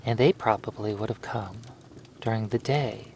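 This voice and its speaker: A man narrating a hypnosis roleplay in a soothing, lulling manner, leaving long pauses between phrases. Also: lowish voice, darkish tone, slight background noise.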